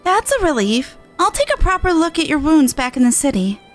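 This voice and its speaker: Woman voicing a very high-pitched caring big sister. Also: very animated, faint music behind. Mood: caring, composed. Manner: composed, formal, measured phrasing. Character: caring, composed big sister, a fantasy adventurer. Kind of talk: reading game dialogue aloud in character.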